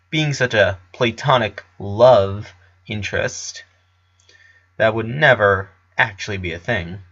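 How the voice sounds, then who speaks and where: A man's voice: on the low side, bright, forceful tone, highs cut off. A man reading a story aloud as narrator, in an amateur storytelling style.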